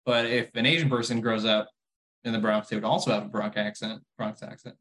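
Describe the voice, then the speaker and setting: A man's voice: fairly low pitch, level tone, fairly quick, fairly bright tone, rough recording. A young adult man co-hosting a casual podcast, opinionated and wry, often breaking into laughter. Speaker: podcast co-host.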